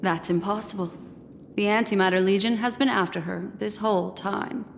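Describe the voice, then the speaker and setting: A woman's voice: medium pitch, fairly even intonation, normal speed, vocal fry, slight background noise, lightly acted. An actress playing a stern, perceptive female agent in a video game, assertive and formal in her accusations. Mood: accusing, certain. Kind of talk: video game character dialogue. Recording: long reverb, music behind.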